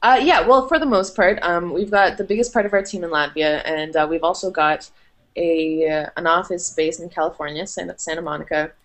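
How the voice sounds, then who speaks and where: A woman's voice: lowish voice, fairly quick, fairly bright tone. A young woman from Canada, chatting upbeat as a guest on a podcast interview, with many fillers. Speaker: content marketing manager, interview guest.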